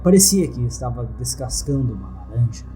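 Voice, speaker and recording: Brazilian man narrating horror, dark-toned voice. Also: mid-range voice, very animated, fairly quick, soft background music, poor audio. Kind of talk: scripted horror story narration in first person.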